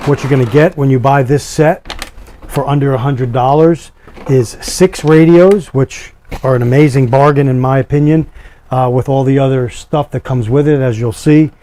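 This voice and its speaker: A middle-aged man giving an unscripted product demonstration in a matter-of-fact, explanatory, somewhat halting way. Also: mid-range voice, mellow. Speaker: product reviewer.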